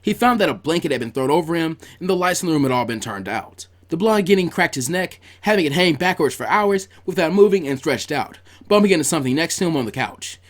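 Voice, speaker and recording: A man reading a scripted story aloud like an audiobook, in an animated, expressive manner. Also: a little high, fast talker, few pauses. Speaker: fan fiction reader, narrator.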